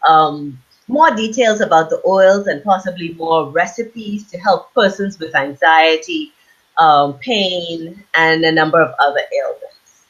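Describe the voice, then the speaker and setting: A woman's voice: low-pitched, unhurried. A woman from the Bahamas explaining her work as an interview guest on an online call. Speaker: interview guest, aromatherapy business owner and author. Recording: dull lo-fi online call audio.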